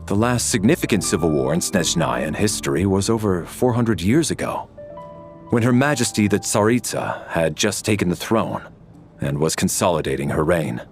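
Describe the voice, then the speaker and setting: Skeptical scholarly gentleman, lower voice, formal, precise, measured, video game, faint music. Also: expressive.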